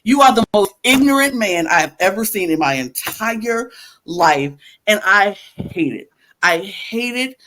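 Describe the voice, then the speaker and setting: A woman's voice: medium pitch, animated, a little slow, a bit bright. A woman co-hosting a wrestling podcast, ranting in an emphatic, fiery way and repeating her points. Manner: fiery, emphatic, repetitive outbursts. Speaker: podcast co-host. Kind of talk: heated casual rant.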